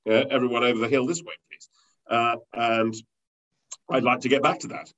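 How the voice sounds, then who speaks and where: A man leading a company, talking through an interview in a rambling way with many fillers and restarts, with a loud voice. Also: medium pitch, steady tone, normal speed. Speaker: company leader interviewed as guest. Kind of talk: conversational business interview. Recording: dull lo-fi sound.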